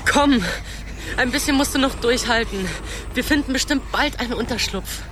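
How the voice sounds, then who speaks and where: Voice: medium pitch, moderate pace, background noise, lightly acted. An actor playing a young boy, a caring and earnest older brother, in a radio play. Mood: pleading, encouraging. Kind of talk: German radio play acting.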